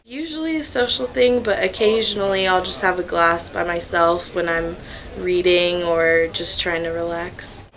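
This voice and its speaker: A woman's voice: on the low side, moderate pace, crowd noise, poor audio. A woman in her early twenties, a student chatting casually in an interview. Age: early 20s.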